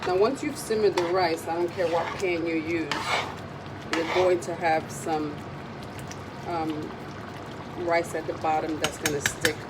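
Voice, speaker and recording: Raspy woman chatting through a cooking demo. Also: sits low, fairly even intonation, measured pace, very noisy. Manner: chatty, meandering, with frequent ums. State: recovering from a cold. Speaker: cooking video host. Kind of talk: casual cooking demonstration. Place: home kitchen.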